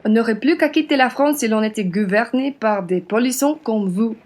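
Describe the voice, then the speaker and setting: A woman's voice: fairly high pitch, moderate pace, darkish tone, lightly acted. A young woman acting a spirited, plain-spoken French patriot woman in a radio play. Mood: outraged. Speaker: student actor.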